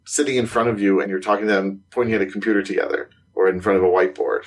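Husky-voiced man chatting animatedly. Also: racing. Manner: animated, rising pitch on surprising points, laughs while talking. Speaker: podcast co-host, consultant. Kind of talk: casual conversational chat.

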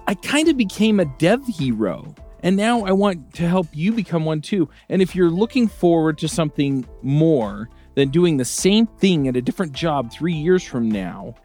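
A man reading a scripted ad in an upbeat, lively way. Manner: upbeat pitch, lively delivery. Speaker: advertiser. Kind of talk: scripted ad read. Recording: light music underneath.

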